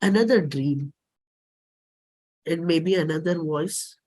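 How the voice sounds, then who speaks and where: A performer with an Indian accent and a husky voice, giving a dramatic, deliberate reading of a personal play script. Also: mid-range voice, darkish tone. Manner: measured, deliberate, theatrical phrasing.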